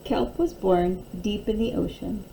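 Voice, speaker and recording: A woman reading a picture book aloud like a teacher, in an expressive, deliberate storytelling manner. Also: mid-range voice, creaky, a little hiss or hum, rough recording.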